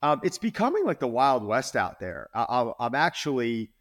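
A man's voice: medium pitch, very animated, moderate pace, fairly bright tone. Earnest fortyish man, fitness trainer. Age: 40s. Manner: earnest, repeats words when pressing a point. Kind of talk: podcast interview.

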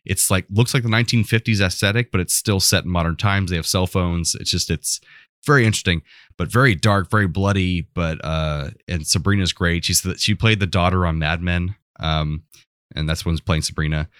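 A man with a very low voice, recorded close and dry. He talks as a podcast co-host in casual conversation, enthusiastic and rambling as he goes. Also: brisk, fairly bright tone, no room sound. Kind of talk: casual pop culture chat.

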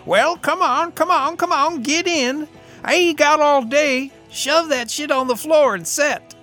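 A tinny, high old desert drifter. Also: male voice, measured pace, sharp tone, faint music behind, heavily acted. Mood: eager. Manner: drawling, folksy, chatty. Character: skinny old bearded desert drifter, cheerful and sly.

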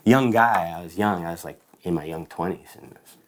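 A man in his fifties speaks in a notably low voice as a guest in a casual interview. He tells his stories eagerly and chats freely, often repeating little agreements. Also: quick pace. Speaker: guest machinist.

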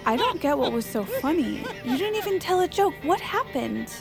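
An actress playing a perky, excitable young secretary and confidante in audio fiction. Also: female voice, sits high, moderate pace, gravelly, over music, exaggerated voice. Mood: puzzled. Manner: bubbly, excitable.

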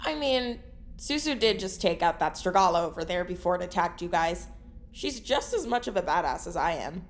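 A woman's voice: on the low side, big pitch swings, normal speed. An actress playing a sardonic, foul-mouthed, tough young woman in an audio drama. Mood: dry.

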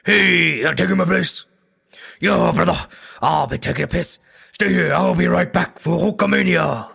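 A man's voice: high-pitched, moderate pace, vocal fry, bright, forceful tone, breathy edge, no echo, studio quality. A man voice acting in a recording session, restarting lines and joking between takes. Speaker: voice actor.

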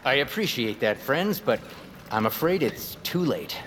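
An actor playing a courteous, formal, long-winded former radio personality in a scripted audio drama. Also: male voice, mid-range voice, lively intonation, unhurried, fairly bright tone, a little hiss or hum. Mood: courteous, resigned. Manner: wordy, formal, theatrical. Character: verbose, courteous fired radio personality now toiling as miner.

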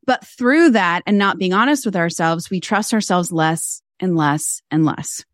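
A woman's voice: lowish voice, measured pace, no echo. Emphatic woman, dry close sound. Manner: animated, emphatic, builds points through repeated phrases. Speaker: guest, fashion stylist and business mentor. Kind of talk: podcast interview conversation.

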